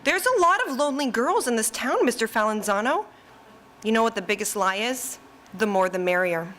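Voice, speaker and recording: A brazen flirty librarian. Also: female voice, fairly high pitch, lively intonation, a bit bright, slight background noise. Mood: flirtatious. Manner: sly, suggestive, quick-talking. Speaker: stage actor in a live radio play.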